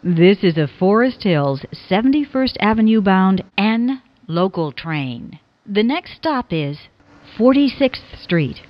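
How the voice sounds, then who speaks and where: A woman reading scripted subway announcements as a transit announcer, formally and in separate, clearly spoken phrases. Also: mid-range voice, big pitch swings, slow, no echo, dull audio. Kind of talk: scripted subway train announcement, pieced from clips.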